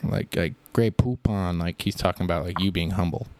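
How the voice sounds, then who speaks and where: A man co-hosting a comedy podcast, talking in a deadpan, rambling way full of fillers. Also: sits low, brisk. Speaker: podcast co-host. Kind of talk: improvised comedy banter.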